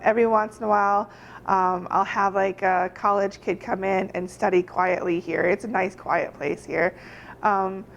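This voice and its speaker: A woman from Germany, a shop owner, answering interview questions in a chatty way with lots of fillers and laughs. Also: medium pitch. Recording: dull audio with faint noise.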